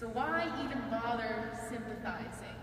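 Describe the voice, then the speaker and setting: A young woman delivers a student speech in a slightly high voice, earnest and measured with a bit of dry wit. She is heard from a distance in a large, reverberant hall with a faint hiss underneath. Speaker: student speaker. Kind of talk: prepared speech. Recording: echoey hall, mic far off, faint hiss.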